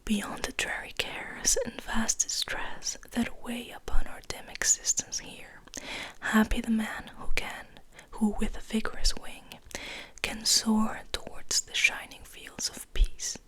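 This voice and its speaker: A woman whispering in a hushed, deliberate ASMR style, as host of a soft poetry reading, close to the microphone. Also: on the high side, completely flat delivery, rough recording.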